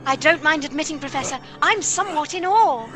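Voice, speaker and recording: A woman playing a jolly, proper 1950s lady scientist with a British accent and a deadpan theatrical manner in a radio comedy parody. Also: high-pitched, brisk, over music, lightly acted.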